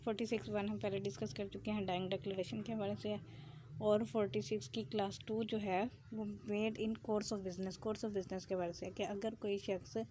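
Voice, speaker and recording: A woman's voice: medium pitch, mellow, slight background noise. A woman with a Pakistani accent giving an explanatory law lecture, reading legal text and explaining it, with English legal terms mixed in.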